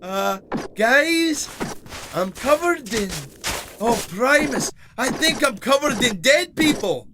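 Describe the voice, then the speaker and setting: A man playing a naive, eager little robot adventurer in an audio drama, with an excitable, guileless, chatty manner. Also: very high voice, slow, background noise, exaggerated voice. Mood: anxious.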